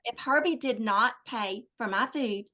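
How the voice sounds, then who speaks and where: A woman's voice: fairly high pitch, measured pace, pushed and bright. A woman guest who coaches at her church, speaking in an upbeat, motivational way with faith language. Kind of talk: interview chat.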